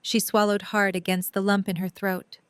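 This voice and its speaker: A female narrator reads a scripted story for an audio drama. Her voice sits at a medium pitch, and the sound is very dry, with no room echo. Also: little pitch movement, normal speed, clean tone.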